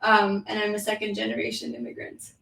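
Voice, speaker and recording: A woman's voice: mid-range voice, flat delivery, a little fast, vocal fry, a bit bright, echoey room, distant mic, low-quality recording. A woman in her thirties from New York City with a French accent, chatting as a guest with lots of "um" and "like.". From New York City, United States. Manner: chatty, with frequent "um" and "like" fillers. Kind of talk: casual interview.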